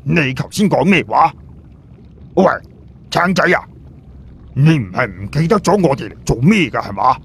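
A man's voice: mid-range voice, lively intonation, moderate pace, pauses often, fairly bright tone, slightly rough, full character voice. A man with a Cantonese accent voicing a brash, exasperated man who scolds his companion in blunt questions for an audio story. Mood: exasperated, alarmed. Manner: blunt, repetitive, firing rhetorical questions.

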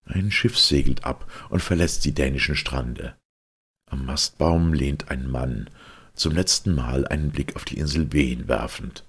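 A man narrating a literary story with solemn, theatrical weight and a gravelly, husky voice. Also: very deep voice, measured pace, a bit bright. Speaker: audiobook narrator.

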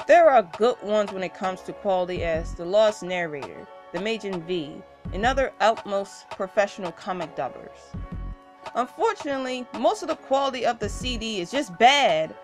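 A young adult woman delivering a scripted commentary rant in a complaining, slightly stilted way. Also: medium pitch. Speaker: YouTuber giving a rant.

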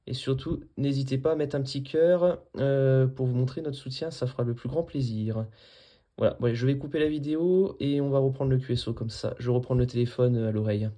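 A man's voice: mid-range voice, fairly quick, warm tone. A man chatting casually as an amateur radio operator while he demonstrates his setup. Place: small indoor room at a desk.

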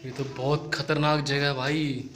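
Excitable man vlogging through ruins. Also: mid-range voice, unhurried, a crowd in the background. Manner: excited, exclamatory, joking with friends. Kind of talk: casual handheld vlog chatter. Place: old stone ruins and dark passages outdoors. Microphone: handheld phone camera. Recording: noisy outdoor phone audio.

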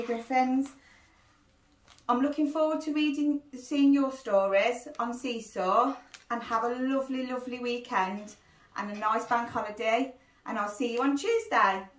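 Woman reading a storybook in a roomy space. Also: a little high. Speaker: primary school teacher reading a storytime. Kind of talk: children's picture book read-aloud. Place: small cosy sitting room indoors. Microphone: handheld phone a short distance away. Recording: roomy echo, phone recording.

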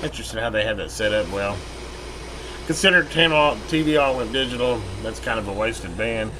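A man's voice: medium pitch, fairly quick. American guy doing casual repair video, folksy n rambly, medium voice, workshop with hum behind. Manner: folksy, rambling, plain-spoken. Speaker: radio repair video host. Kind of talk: casual hands-on demonstration commentary. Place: metal workshop building, indoors at a bench. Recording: steady background hum and radio noise.

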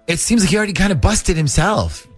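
A man's voice: high voice, sing-song, brisk, soft background music. A man hosting a radio phone-in show, talking in a casual, joking way. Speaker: morning radio show host.

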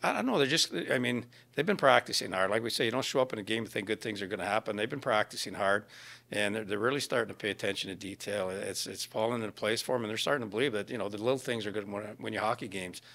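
Older male hockey coach, lowish voice, folksy and plain-spoken in post-game remarks. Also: fast talker, vocal fry, a bit bright. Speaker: ice hockey head coach. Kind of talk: post-game press comments. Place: indoor media backdrop at a rink. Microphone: microphone on a stand in front.

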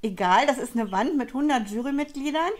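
A woman's voice: high-pitched, animated, brisk. An older woman in her sixties, hosting an interview and asking friendly, curious questions. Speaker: interviewer, host. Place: small indoor room, seated on a sofa.

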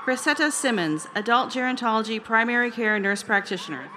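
Higher-voiced woman reading graduate names. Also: animated, crowd noise, background noise. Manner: careful, deliberate pronunciation of names. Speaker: commencement name reader. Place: large outdoor stadium over a PA system.

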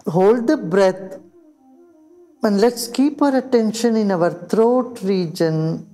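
An older man with an Indian accent guides a meditation in a soft, mellow, high-pitched voice. He speaks in a soothing, unhurried manner and leaves long pauses between phrases. Music plays behind him. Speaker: meditation teacher. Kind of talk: guided meditation in English and Hindi. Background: background music.

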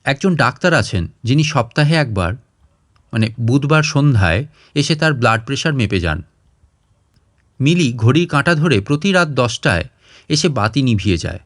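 A man's voice: medium pitch, animated, normal speed, long pauses, fairly bright tone, no room sound, clean studio sound. A man reading a literary short story aloud as a storyteller, in audiobook style.

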